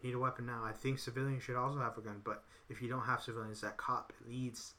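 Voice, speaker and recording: A man's voice: medium pitch, flat delivery, quick pace, sharp tone. A man in casual political chat, rambling and repeating himself with lots of "you know". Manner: acts out others in quoted voices.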